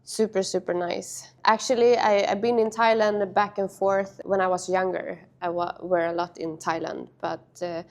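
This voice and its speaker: Swedish-raised woman, halting casual speech. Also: mid-range voice, steady tone, a little mellow. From Sweden. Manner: halting, with many fillers and restarts. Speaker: interview guest, padel player. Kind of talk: casual interview.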